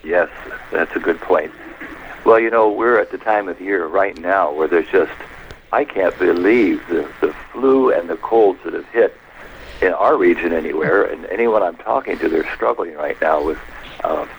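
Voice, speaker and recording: A man with a very low, gravelly voice talks through health advice on a radio program. His delivery is measured and anecdotal, walking through each point step by step, over a faint hiss in the background. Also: poor audio. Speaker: health guest. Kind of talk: explanatory radio talk.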